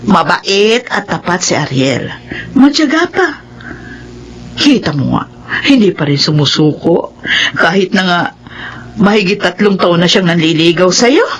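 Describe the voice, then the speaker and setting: A woman's voice: lowish voice, sing-song, measured pace, long pauses, slight background noise. A woman playing a doting, meddling middle-aged aunt in a radio drama, exclaiming and coaxing with a Filipino accent. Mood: coaxing, concerned.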